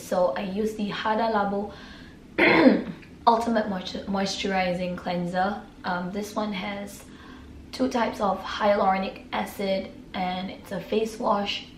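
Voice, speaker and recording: A woman from Singapore with a hoarse, raspy voice from a sore throat, chatting casually through her nightly skincare products and often clearing her throat. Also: medium pitch, steady tone, unhurried, echoey room, a little hiss or hum, poor audio. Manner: chatty, filler-heavy, keeps clearing throat. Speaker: beauty vlogger. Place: room.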